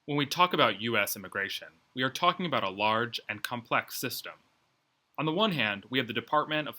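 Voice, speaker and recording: A man presenting an educational video in a scripted, measured, explanatory way. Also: mid-range voice, pushed and bright. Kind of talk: scripted informational narration.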